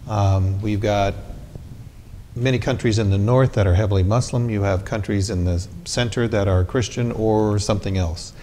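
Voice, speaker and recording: A man hosting a television interview, asking measured, inquisitive questions. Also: fairly even intonation, dark tone. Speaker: TV interviewer, host. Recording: roomy reverb with faint rumble.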